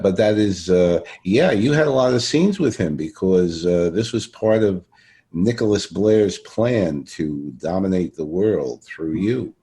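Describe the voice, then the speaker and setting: A man with a very deep voice and a soft, dark tone hosts a casual interview. He feels his way through questions, often circling back over the same phrase. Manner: halting, repeats phrases while searching for words. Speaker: podcast interviewer.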